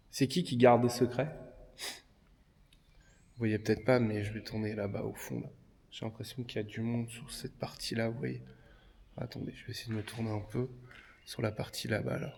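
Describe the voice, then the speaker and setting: A man's voice: mid-range voice, a little slow, gravelly, pauses often. A man exploring an abandoned industrial building, talking hesitantly with many fillers and calling out to spirits. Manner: hesitant, many fillers, drops to hushed murmurs. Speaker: paranormal investigator. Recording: echoey hall with faint noise.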